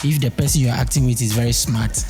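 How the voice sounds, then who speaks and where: A man's voice: mid-range voice, steady tone, fast talker. A man with a Ghanaian accent, an actor talking casually in an interview. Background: music.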